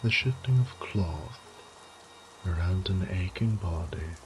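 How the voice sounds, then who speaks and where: A man's voice: low voice, slow, background noise. A man playing an ancient, bored vampire lord in a horror audio drama, theatrical and mock-tender, with menacing condescension and drops into whispers.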